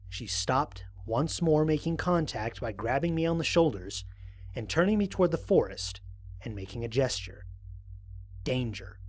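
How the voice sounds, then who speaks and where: A man playing a thoughtful, wary space explorer, narrating scripted mission logs in a measured, articulate, reflective way. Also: medium pitch, breathy edge. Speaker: narrator of a fan audio drama. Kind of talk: scripted first-person mission log narration.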